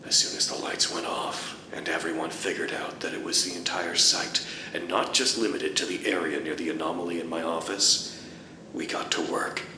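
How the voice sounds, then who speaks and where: An actor playing a dutiful researcher at an underground anomaly site in an audio drama, speaking in a formal, wordy way with dry wit. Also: male voice, deep voice, fairly even intonation, normal speed, noisy background. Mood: matter-of-fact. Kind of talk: audio drama, recorded log monologue.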